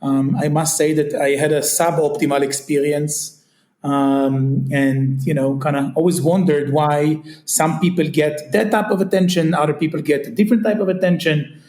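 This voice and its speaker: A man's voice: a little high, fairly even intonation, normal speed, darkish tone. A man with an Israeli accent, talking as a guest in a casual interview, searching for words and leaning on fillers like "kinda" and "you know". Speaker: guest, retail company CEO. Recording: echoey room sound.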